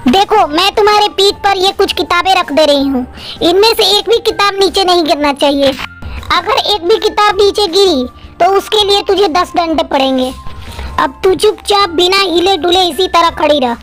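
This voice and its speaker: An arrogant, punishing tuition teacher, acted by a woman in a cartoon tale. She is strict and bossy, with an extremely high voice over faint music. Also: moderate pace, a bit bright. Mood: stern, sly. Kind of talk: Hindi cartoon story dialogue.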